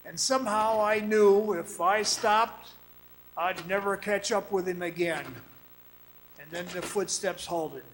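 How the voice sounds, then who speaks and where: A man playing a weary, awestruck man who recounts an eerie ordeal in a radio drama, dramatic, halting and haunted. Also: high voice, fairly bright tone, slight background noise, heavily acted, rough recording. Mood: tense wonder. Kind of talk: dramatic radio play narration. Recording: music behind the voice.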